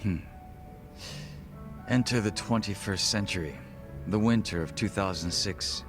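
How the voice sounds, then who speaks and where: Man acting deep, suave, guarded gangster lieutenant. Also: over music, lightly acted. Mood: grave, reflective. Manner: formal, polite, measured, wry. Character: suave, guarded male second-in-command of a Korean gang. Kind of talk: English video game voice acting.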